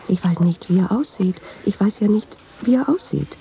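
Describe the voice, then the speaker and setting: A woman's voice: mid-range voice, animated, normal speed, gravelly, dark tone, highs cut off. An actress playing a watchful, nervous woman who muses hesitantly in an intimate radio drama monologue, dropping into half-whispers. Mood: musing, watchful. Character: watchful, nervous woman narrating her inner thoughts.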